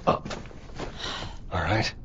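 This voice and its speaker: An actor with an American accent playing a curt, world-weary middle-aged smuggler in a video game, terse and gruff. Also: male voice, extremely slow, a bit bright, a little hiss or hum. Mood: firm. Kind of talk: dramatic video game acting. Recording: muffled game audio with music.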